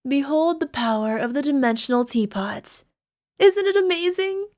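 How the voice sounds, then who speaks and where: A woman playing a young, sweetly possessive yandere alchemist girlfriend in an ASMR roleplay, coaxing softly and sometimes slipping toward a whisper. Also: high voice, lively intonation, moderate pace, no echo, clean studio sound. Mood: smug, possessive. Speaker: voice actor. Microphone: close microphone, dry.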